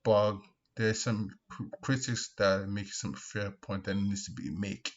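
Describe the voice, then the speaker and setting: Guy doing casual opinion vlog, low husky voice, keeps repeating himself, kinda muffled mic. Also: steady tone. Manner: repetitive, emphatic, halting with restarts. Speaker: video host, commentator. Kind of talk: casual opinion commentary, reacting to comments. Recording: dull, lo-fi microphone sound.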